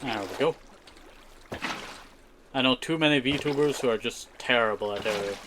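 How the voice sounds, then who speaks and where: A man's voice: medium pitch, moderate pace, fairly bright tone, slight background noise. A man who is a native Scottish Gaelic speaker from Scotland, giving chatty, rambling live gaming commentary and often repeating short phrases. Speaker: streamer.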